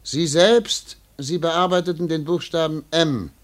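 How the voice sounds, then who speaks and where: An actor playing a stern, impatient investigator in a German radio drama, questioning in a commanding, clipped way. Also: male voice, a little high, fairly even intonation, measured pace, natural voice. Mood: stern, pressing. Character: stern, impatient male investigator interrogating a suspect.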